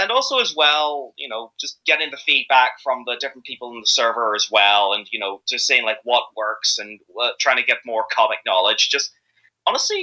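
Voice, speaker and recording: A man's voice: medium pitch, pushed and bright, low-quality recording. A man with an Irish accent chatting casually as an interview guest, hesitant and rambling but enthusiastic. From Ireland. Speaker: interview guest, amateur fandub voice actor.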